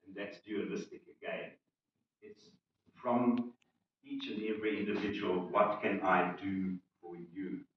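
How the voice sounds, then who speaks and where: A man shares an informal testimonial, deliberately building it phrase by phrase. He is heard from a distance in a very echoey hall. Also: on the low side, muffled recording. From South Africa. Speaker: retreat participant sharing a testimonial. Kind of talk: informal public sharing.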